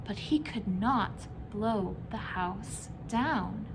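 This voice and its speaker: A woman's voice: medium pitch, drawn-out words, noisy background. A woman reading a children's story aloud as a storyteller, phrasing it expressively for young listeners.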